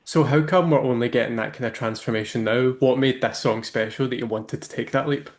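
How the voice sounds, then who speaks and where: A man's voice: medium pitch, fairly quick. A male interviewer who opens with a scripted introduction and then asks casual questions peppered with likes. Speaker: interviewer and host.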